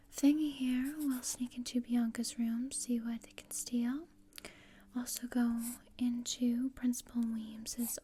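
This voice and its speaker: Woman acting gothic teen girl, breathy whisper, higher-pitched, flat delivery, morbid, bossy, condescending, ASMR roleplay, close mic. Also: lightly acted. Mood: scheming, bossy. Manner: deadpan, dry, matter-of-fact. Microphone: close ASMR microphone. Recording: close-up with clear noise and clicks.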